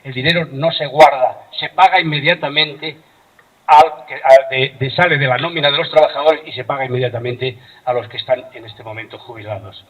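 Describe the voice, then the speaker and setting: A man's voice: mid-range voice, fairly even intonation, fairly quick, highs cut off. A man in his seventies from Madrid, giving an impassioned public speech on pensions to an audience of retirees, building to emphatic repeated points with frequent restarts. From Madrid, Spain. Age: 70s. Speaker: speaker at a public meeting on pensions.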